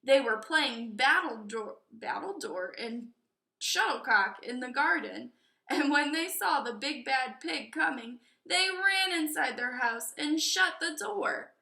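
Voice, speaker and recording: A woman reading a children's story aloud as an expressive narrator, deliberate and emphatic on key words. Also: high voice, creaky. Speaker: storybook reader. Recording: echoey room.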